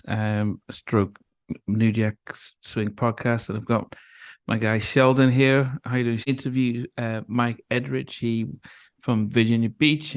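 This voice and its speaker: A man hosts a casual interview podcast in a mid-pitched voice. His delivery is chatty and halting, with frequent pauses and ums between phrases. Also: a little mellow, smooth. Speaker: podcast host.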